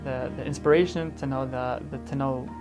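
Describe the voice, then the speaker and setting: Halting young man, many false starts. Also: medium pitch, fairly even intonation. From Chicago, USA. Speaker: teacher trainee. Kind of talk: testimonial interview. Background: music.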